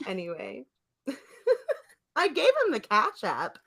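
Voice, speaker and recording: A woman's voice: fairly high pitch, huge pitch swings, takes it slowly, creaky, sharp tone, slightly rough. A young woman hosting a podcast, telling anecdotes in casual chat and laughing often. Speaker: podcast host.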